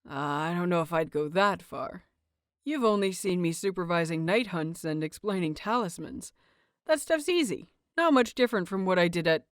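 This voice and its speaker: A woman voicing a playful, excitable young husband in an audio story, chatty, teasing and self-deprecating. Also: on the low side, moderate pace, no echo, studio quality, natural voice. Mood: modest, sheepish.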